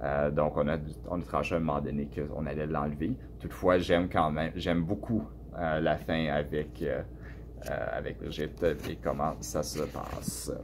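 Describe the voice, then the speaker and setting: A man from Canada with a Canadian French accent, answering students' questions about his book in a relaxed, hesitant way with many fillers and restarts. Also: rumbling low, moderate pace, a little hiss or hum. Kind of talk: casual spoken answers to a class.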